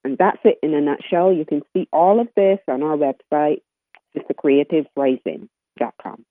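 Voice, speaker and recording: A woman in her sixties from Barbados, a guest talking in an interview, often halting and restarting her sentences. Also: low-pitched, no echo. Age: 60s. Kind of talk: interview conversation. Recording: tinny phone line.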